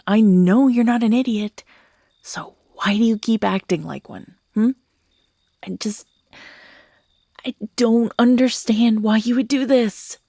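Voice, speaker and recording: A woman's voice: medium pitch, darkish tone, dry recording, clean studio sound. A woman playing an obsessive, manipulative kidnapper in an audio roleplay, condescending and exasperated, with sighs and half-whispers. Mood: hurt, pleading. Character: obsessive, manipulative female kidnapper scolding her captive lover. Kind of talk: scripted yandere horror audio roleplay, intimate monologue.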